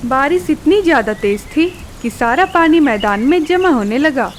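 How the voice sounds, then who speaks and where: Female storyteller, high voice, scripted children's narration, faint ambience with birdsong. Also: expressive, normal speed, a little hiss or hum.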